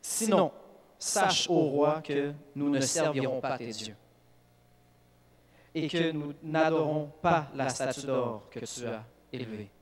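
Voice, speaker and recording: A man, a native English speaker, preaching in a church with lively bursts of emphasis and frequent restarts. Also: on the high side, takes it slowly. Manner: animated preaching with restarts and self-corrections. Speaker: youth speaker. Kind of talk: sermon.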